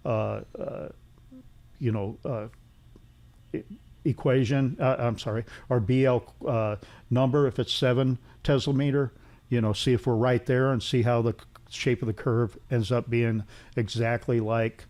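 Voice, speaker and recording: A middle-aged or older man giving casual technical explanations as an interview guest, in a halting, rambling way with repetition. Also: mid-range voice, fairly even intonation, a little mellow. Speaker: interview guest, loudspeaker engineer.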